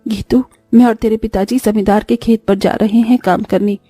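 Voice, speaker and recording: A poor, middle-aged village mother from a cartoon voiced by a woman, worn out and lamenting. Her tone is very soft and dark, dry, with faint music behind. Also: medium pitch, fairly even intonation, moderate pace, no echo, lightly acted. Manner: lamenting, placating.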